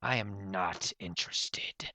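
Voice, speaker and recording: A man's voice: low-pitched, slow, bright, forceful tone, dry recording, full character voice. A man voicing an irritated, sardonic unicorn stallion professor in a pony story, curt and mocking in manner.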